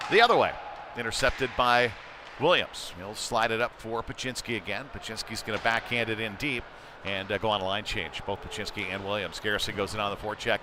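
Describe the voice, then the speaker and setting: A man with a mid-range voice commentates a live ice hockey game. He calls the play in short, clipped fragments. Clear noise from the rink runs behind his voice throughout. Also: medium pitch. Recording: noisy rink ambience behind voice.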